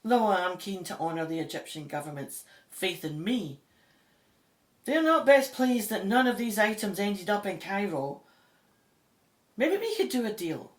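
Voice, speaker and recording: A woman's voice: medium pitch, lively intonation, unhurried, close to an everyday voice. An actress playing a determined, diplomatic female Egyptologist in an audio drama. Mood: conciliatory.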